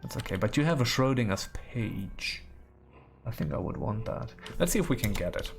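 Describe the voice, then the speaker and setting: Creaky-voiced man streaming a game. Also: fairly low pitch, animated, moderate pace. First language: German. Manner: musing, thinking aloud, repeats words. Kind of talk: casual game commentary, chatting with viewers.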